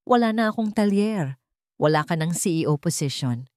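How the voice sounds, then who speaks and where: A woman's voice: fairly low pitch, expressive, normal speed, a little mellow, no echo, clean studio sound, lightly acted. A woman voicing a humble mechanic and single father who speaks earnestly and tenderly in a storybook romance. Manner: earnest, pleading.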